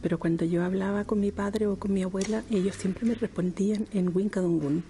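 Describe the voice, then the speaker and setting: A middle-aged woman from Chile, a poet answering interview questions slowly and thoughtfully, with frequent hesitations. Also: medium pitch, fairly even intonation, warm tone, a little hiss or hum.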